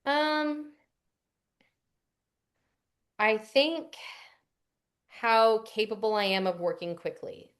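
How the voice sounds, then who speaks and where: A woman's voice: fairly high pitch, animated, drawn-out words, pauses often. A young adult woman, a voice actress answering interview questions in a chatty, rambling way with fillers and restarts. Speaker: voice actress guest.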